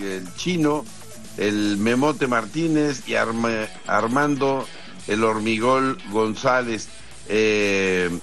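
A man with a Mexican accent giving conversational sports news commentary in a measured way, pausing between points. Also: mid-range voice, a bit bright. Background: music.

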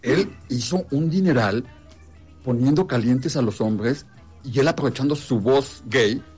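A man's voice: medium pitch, a little slow, darkish tone, husky, soft background music. A man co-hosting a casual show, telling stories in a teasing way. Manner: storytelling, teasing. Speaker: co-host. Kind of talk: casual chat.

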